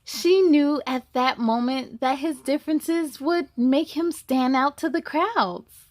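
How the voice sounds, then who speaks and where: A woman hosting a children's story time, reading a picture book aloud expressively, with animated exclamations, musing asides and questions to the listeners. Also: high voice, takes it slowly, no room sound.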